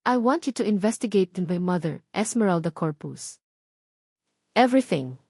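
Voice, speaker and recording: A woman's voice: medium pitch, expressive, moderate pace, darkish tone, slightly rough, no echo. Lady narrator doing an angry hurt rich guy, demanding, confrontational, audio drama, really dry sound. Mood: grim, commanding. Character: angry, wounded wealthy adult son, a CEO.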